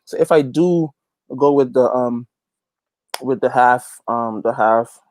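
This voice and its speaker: A man from Guyana giving a casual interview as a guest, informal and halting, repeating phrases as he thinks. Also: mid-range voice, darkish tone, no echo, rough recording. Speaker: interview guest, gospel reggae artist and youth pastor.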